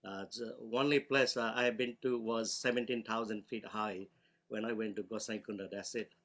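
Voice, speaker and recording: A man's voice: fairly low pitch, steady tone, normal speed, a bit bright. A man from Nepal asking the guest questions from the audience, chatty and friendly. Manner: chatty, laughs often. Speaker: audience caller.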